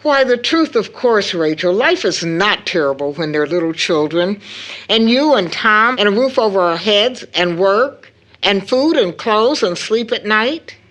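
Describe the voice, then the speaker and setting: Loving, careworn mother for audio drama; very animated pitch, tender and theatrical. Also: a little slow. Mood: strained. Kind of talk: stage-play audio drama acting.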